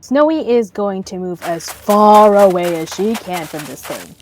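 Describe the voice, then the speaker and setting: A woman's voice: medium pitch, lively intonation, unhurried, faint music behind. A woman playing a tabletop roleplaying game, casually narrating her character's moves.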